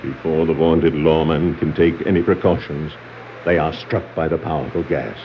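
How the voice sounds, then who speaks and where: A man's voice: a bass voice, steady tone, moderate pace, slight background noise. A man giving formal, portentous scripted narration for a 1960s dramatic adventure record. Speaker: story narrator. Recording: dull 1960s vinyl record.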